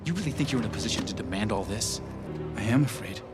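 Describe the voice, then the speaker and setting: An actor playing an earnest, idealistic young inventor turned city councilor in an animated drama, intense and resolute. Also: male voice, lowish voice, quick pace, lightly acted. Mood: grave, negotiating. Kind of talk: dramatic animated series dialogue. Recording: dialogue over clear background music. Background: music.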